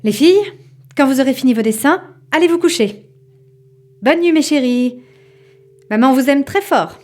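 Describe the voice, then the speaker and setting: A woman's voice: high-pitched, animated, normal speed, darkish tone. A woman playing an obsessive, irritable mother who tells her story dramatically and confidingly in an audio fiction. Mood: motherly. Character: obsessive, irritable mother waiting for a mysterious sign.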